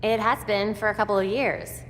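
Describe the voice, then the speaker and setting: An actress playing a determined young female vigilante in a video game cutscene, speaking in a steady, resolute way. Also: medium pitch, normal speed, big-room sound, slight background noise, close to an everyday voice. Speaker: superhero.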